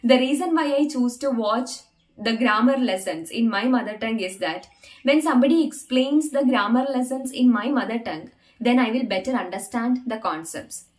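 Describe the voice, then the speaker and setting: A woman's voice: fairly high pitch, expressive, moderate pace. A young adult woman whose first language is not English, earnestly teaching English learners and repeating phrases to stress her points. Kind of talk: talking-head English learning lesson.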